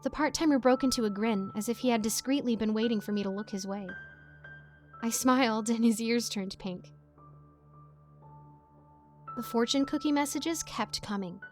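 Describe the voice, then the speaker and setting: A woman reading a scripted story as its narrator, with an expressive storytelling delivery. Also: a little high, moderate pace, pauses often, faint music behind.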